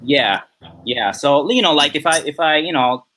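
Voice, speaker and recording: A young man speaks as a podcast guest in a bright, forceful voice. He rambles and pads his sentences with "you know" and "um". The talk is relaxed and conversational. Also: on the high side, moderate pace. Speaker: podcast guest, film assistant director. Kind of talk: casual interview chat.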